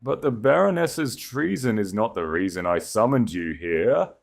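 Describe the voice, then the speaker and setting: A man's voice: lowish voice, expressive, normal speed, creaky, pitched above the performer's own voice. A man voicing a proud, grave sovereign grand duke with a declamatory, regal delivery in a romance audiobook. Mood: wrathful declaration. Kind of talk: dramatic romance audiobook dialogue.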